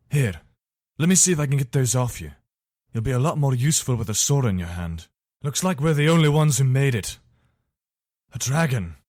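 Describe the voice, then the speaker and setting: An earnest imperial soldier from a fantasy video game, acted by a man, with a mid-pitched male voice in a dry, close recording. He gives short, commanding orders like a weary but decent man bound by duty. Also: darkish tone, no room sound. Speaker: voice actor for a game mod.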